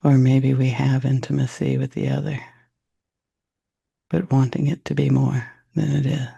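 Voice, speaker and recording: A man leading a guided meditation in a soft, unhurried, reflective way, with long pauses and a gravelly, husky voice. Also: mid-range voice, level tone, highs cut off.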